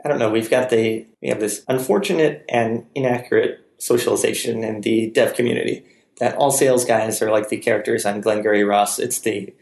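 A man's voice: on the low side, steady tone, a little fast, creaky, a little mellow, roomy sound. A man hosting a casual podcast, chatty and self-deprecating, talking at length about his work. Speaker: podcast host. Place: room in Palo Alto, California.

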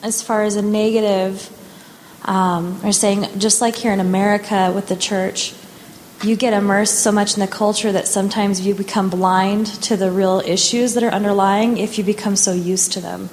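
Woman, medium pitch, halting group spokesperson, long echo, faint noise. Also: flat delivery, a little mellow. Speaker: discussion participant. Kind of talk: reporting a group's points aloud. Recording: echoey hall, faint noise.

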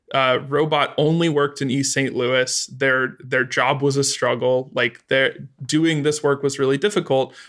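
Man, mid-pitched, interview guest, conversational and halting delivery with repeated words. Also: fairly bright tone. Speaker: audio drama adapter being interviewed.

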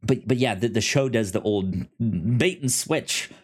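A man co-hosting a podcast, chatting casually about television in a halting, stammering way that often repeats words. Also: mid-range voice, breathy edge. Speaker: podcast host. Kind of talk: casual TV review chat.

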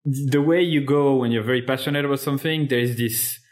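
A man with a French accent, a native French speaker, hosting a podcast interview in a casual, laughing, halting way. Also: mid-range voice, fairly even intonation. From France. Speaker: podcast host.

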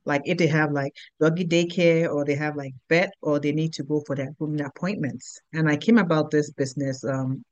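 A middle-aged woman with a Nigerian accent, answering interview questions in an unhurried way with pauses. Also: deep voice, steady tone, darkish tone, no room sound, highs cut off. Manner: unhurried, simple sentences with pauses and fillers. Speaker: guest, business owner.

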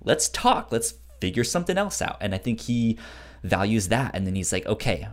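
A man co-hosting a casual book discussion, speaking haltingly with repeated words and acting out bits of dialogue. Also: on the low side. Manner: animated, acts out imagined dialogue. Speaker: podcast co-host.

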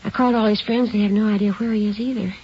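A woman's voice: medium pitch, fairly even intonation, rapid-fire, mellow, close to an everyday voice. A woman acts out a mother in her forties, anxious yet holding herself together. Her voice sits in an ordinary female register, played in the manner of old radio drama. The recording is dull and vintage, with a faint layer of noise. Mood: uneasy. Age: 40s. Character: worried but composed mother of a missing boy.

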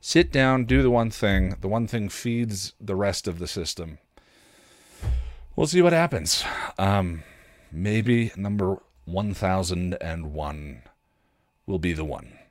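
A man's voice: low-pitched, takes it slowly, dry recording, studio quality. A man hosting a casual solo podcast in a rambling, self-deprecating way, often acting out his own inner dialogue. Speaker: podcast host.

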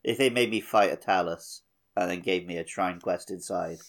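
A British man co-hosting a gaming podcast, chatting casually and riffing on jokes in an animated way. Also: low-pitched, normal speed, vocal fry. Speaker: podcast co-host.

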